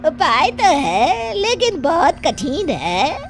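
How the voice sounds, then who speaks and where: A menacing cave witch in a cartoon, voiced by a woman, slow and taunting. Her voice sits fairly high and she stretches every word. Clear music plays under her. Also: huge pitch swings, exaggerated voice. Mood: ominous. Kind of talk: cartoon voice acting. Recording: music bed behind voice.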